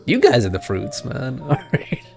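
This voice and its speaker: Creaky-voiced man joking over a game. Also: mid-range voice, huge pitch swings, normal speed. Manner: joking, slangy. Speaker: gaming streamer. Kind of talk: casual reaction commentary over gameplay. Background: game music.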